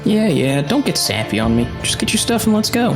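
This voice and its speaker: A man plays a loyal, showman fight announcer in an audio drama. His voice is fairly high and very animated in pitch. Music runs clearly behind him. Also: fairly quick. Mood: teasing, upbeat. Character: Tristan, showy, loyal brotherly fight-ring announcer.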